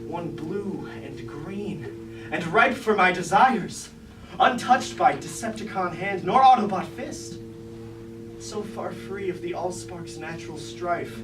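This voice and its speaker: A man's voice: sits high, animated, takes it slowly, over music. A man playing a proud, bitter rebel robot warrior in a staged tragedy, declaiming grandly in an echoey room. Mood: brooding. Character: proud bitter rebel robot warrior, ambitious traitor turned self-crowned king. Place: indoor room at a live staged reading. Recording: echoey room from far off, noisy.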